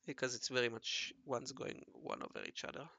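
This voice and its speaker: Raspy man, gravelly edge, bright tense tone, dry close sound, muttering to himself while drawing. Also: mid-range voice, fairly even intonation, fairly quick, dry recording, dull audio. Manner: mumbling and self-correcting, often murmuring half to himself. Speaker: hobby artist narrating his drawing session. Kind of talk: casual think-aloud commentary while drawing.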